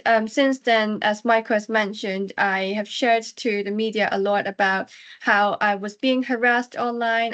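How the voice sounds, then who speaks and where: A young woman with a Hong Kong accent speaks as an activist on a webinar. Her voice sits at a medium pitch for a woman, and she delivers prepared points earnestly and deliberately. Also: fairly bright tone. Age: about 20. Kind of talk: prepared webinar testimony and advocacy. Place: online webinar call.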